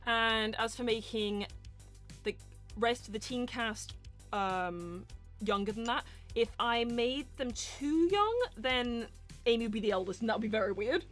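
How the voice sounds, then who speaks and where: A woman's voice: a little high, animated, unhurried, fairly bright tone, soft background music. A woman hosting a live roleplay stream, chatting casually and candidly as she answers questions in rambling explanations. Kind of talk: casual livestream Q&A chat.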